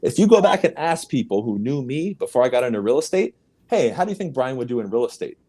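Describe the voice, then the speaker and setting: A man's voice: very animated, quick pace, mellow. A man whose first language is Spanish, speaking English as an emphatic, punchy real estate coach, a guest on a podcast. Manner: emphatic, punchy, sweeping pitch jumps. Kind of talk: motivational podcast interview.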